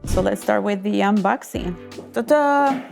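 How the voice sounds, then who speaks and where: A woman's voice: mid-range voice, measured pace, vocal fry, a little mellow. A woman from Colombia co-hosting a kitchen video, reviewing cameras in an upbeat, emphatic way. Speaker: co-host. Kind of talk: casual product review. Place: home kitchen. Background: background music.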